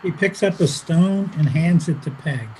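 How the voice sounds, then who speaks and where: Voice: woman reading stage directions, slow pace. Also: fairly low pitch, darkish tone, poor audio. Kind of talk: scripted narration of stage directions. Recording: faint background noise.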